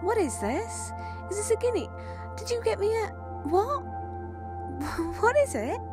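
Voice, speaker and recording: Very high-pitched, earnest young woman put on by an actress. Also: sing-song, measured pace, over music, full character voice. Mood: delighted surprise. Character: young woman friend, naive, earnest, tender-hearted. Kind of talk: radio play acting.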